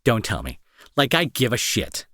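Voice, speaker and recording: A man acting in an intimate audio roleplay as a gruff young bully who is secretly worried and protective, talking to the listener with sarcasm and exasperation. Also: medium pitch, huge pitch swings, moderate pace, sharp tone, dry recording, clean studio sound. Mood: sarcastic, irritated.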